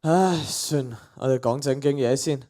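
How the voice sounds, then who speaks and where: A man's voice: medium pitch, normal speed, dark tone, lightly acted. A teenage boy with a Cantonese accent playing an exasperated, principled young content creator in a radio play, with a sighing, grumbling manner. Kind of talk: student radio play acting.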